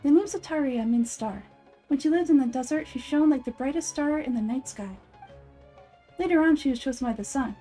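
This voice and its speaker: Woman voicing a soft, mellow, wise child goddess. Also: sits high, faint music behind, close to an everyday voice. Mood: solemn, gentle. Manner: measured, thoughtful, earnest. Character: Nahida, gentle wise child-like goddess, calm and earnest. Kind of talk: fan dub of anime game dialogue.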